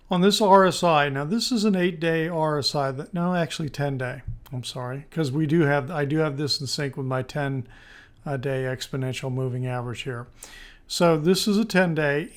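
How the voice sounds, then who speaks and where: A man with a fairly high, slightly hoarse voice talks through stock charts as the presenter. His delivery is informal and tentative, and he works out his thoughts aloud while commenting. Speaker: stock market video presenter. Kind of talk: screen-share market analysis commentary.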